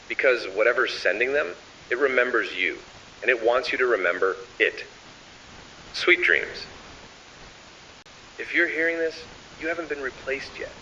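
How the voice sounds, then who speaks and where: A man narrating scripted analog horror, addressing the listener in an ominous, deliberate and measured manner. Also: medium pitch, sharp tone. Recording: dull lo-fi, distant, hissy.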